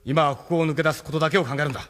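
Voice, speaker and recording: A man's voice: fairly high pitch, steady tone, racing, a bit bright, close to an everyday voice. A man acting in a Japanese audio drama as a capable, no-nonsense ship captain leading a rescue. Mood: hushed urgency.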